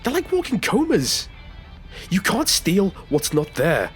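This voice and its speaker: A man acts out a nervous male taxi passenger in a sci-fi audio play. His voice sits fairly high and moves around a lot in pitch. He is halting and defensive, tripping over his words. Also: wildly swooping pitch, soft background music, full character voice. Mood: defensive, earnest. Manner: stammering, hesitant, halting. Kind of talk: science fiction audio drama acting.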